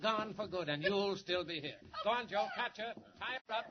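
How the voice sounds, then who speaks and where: An actor playing a smug, menacing crooked company boss in a 1940s radio drama, with mock-polite, sneering delivery. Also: male voice, high voice, normal speed, a crowd in the background, close to an everyday voice. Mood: gloating, threatening. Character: menacing, smug swindler mining boss, a smooth male villain. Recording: dull, noisy 1940s radio recording.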